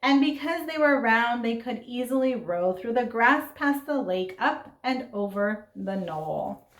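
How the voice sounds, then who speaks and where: A woman reading a children's story aloud in an expressive, dramatic way, with asides and questions to young listeners. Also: on the high side, a little slow. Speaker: storybook reader. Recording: roomy, echoing sound.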